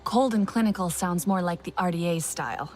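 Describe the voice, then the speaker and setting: An actress playing a young Na'vi woman in a video game, a wary and curious investigator with a measured, questioning manner. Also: on the low side, darkish tone, faint music behind, natural voice. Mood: friendly. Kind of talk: video game dialogue acting.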